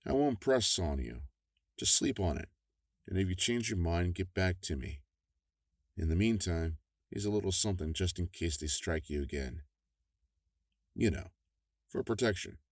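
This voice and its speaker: Brief: man as a smooth, folksy government official, very low voice, dry studio sound. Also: expressive, normal speed, pauses often, dry recording. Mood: affable. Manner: affable, persuasive, chuckling. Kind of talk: audio drama acting.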